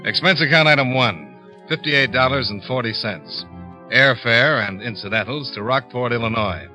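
A wry American investigator from 1950s detective radio, with a forceful, bright voice at a medium pitch. He talks in a clipped, dry, businesslike way, like someone filing a report. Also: moderate pace, soft background music, poor audio. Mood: matter-of-fact. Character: wry, businesslike male freelance insurance investigator. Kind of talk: 1950s radio detective acting, first-person narration. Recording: 1950s radio drama recording.

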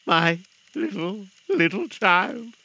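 A man's voice: high-pitched, sing-song, a little slow, vocal fry, bright, forceful tone, full character voice. A man voicing a cheerful, tender-hearted working-class father fighting back grief, earnest and affectionate, in a dramatised storybook reading. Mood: grieving, tender.

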